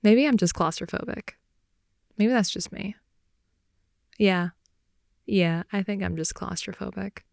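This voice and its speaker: A young woman improvising an intimate ASMR girlfriend roleplay, rambling in a playful, slangy way and slipping between soft whispers and more animated talk, close to the mic. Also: medium pitch, measured pace, creaky, pauses often, dry recording. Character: wide-awake chatty girlfriend, silly and playful. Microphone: close microphone, dry sound.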